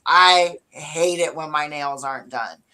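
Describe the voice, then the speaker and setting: A young woman with a deeper voice, co-hosting a relaxed, chatty talk show with lots of fillers. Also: a little slow. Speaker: co-host. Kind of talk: casual chat.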